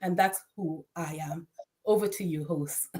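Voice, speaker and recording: A woman's voice: fairly low pitch, measured pace, mellow. Emphatic Jamaican woman guest. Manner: emphatic, preacher-like delivery. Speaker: podcast guest. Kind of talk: inspirational self-introduction.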